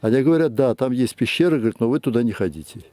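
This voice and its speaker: Male tourist from Kazakhstan, warm relaxed voice, easygoing storytelling. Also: medium pitch, rapid-fire. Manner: relaxed, with frequent agreeing fillers. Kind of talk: casual questions and story.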